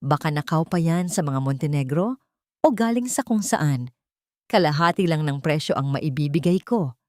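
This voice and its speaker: A woman's voice: deep voice, animated, normal speed, a little mellow, no room sound, clean studio sound. A woman voicing a mocking, suspicious male pawnshop owner in a drama story. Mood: sneering. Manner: sneering, suspicious.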